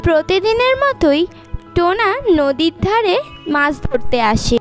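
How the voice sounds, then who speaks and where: A woman's voice: extremely high pitch, sing-song, a little slow, faint music behind. A woman narrating a scripted children's story as the channel's storyteller.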